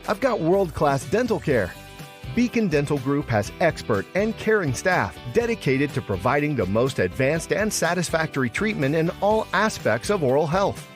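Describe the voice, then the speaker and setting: A man's voice: a little high, very animated, normal speed. A man delivering a scripted testimonial commercial as a spokesman. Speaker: advertisement spokesman. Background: music.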